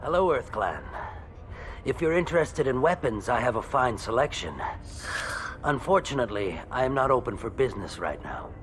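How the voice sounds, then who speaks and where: A man's voice: mid-range voice, very animated, moderate pace, a bit bright, slight background noise. A man voicing a small, shifty alien merchant in a video game, with fussy, wheedling salesman patter. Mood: smug, dismissive. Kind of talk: video game character acting.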